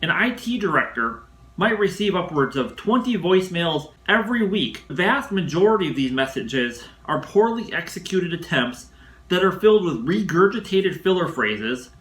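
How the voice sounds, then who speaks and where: A man presenting a scripted instructional sales talk as a coach, in a didactic, emphatic way with measured phrasing. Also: high voice, sing-song, fairly bright tone, echoey room.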